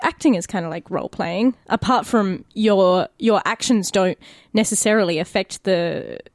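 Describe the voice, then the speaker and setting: Aussie girl on a podcast, casual, thinking out loud, dry close audio. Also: mid-range voice, normal speed, no echo. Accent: Australian. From Australia. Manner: informal, hesitant phrasing, thinking aloud. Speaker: podcast co-host, actor.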